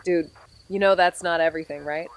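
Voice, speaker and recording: An actress playing a weary, wry amateur investigator in a noir audio drama, with measured, deadpan delivery. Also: female voice, lowish voice, natural voice. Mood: skeptical, pressing. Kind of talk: scripted noir audio drama, narration and dialogue.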